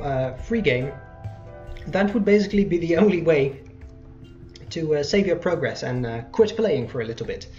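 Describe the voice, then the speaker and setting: A let's player talks casually through a game in a middling pitch. The delivery is hesitant and halting, and music plays behind the voice. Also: darkish tone, highs cut off. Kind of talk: casual game commentary with read-out dialogue.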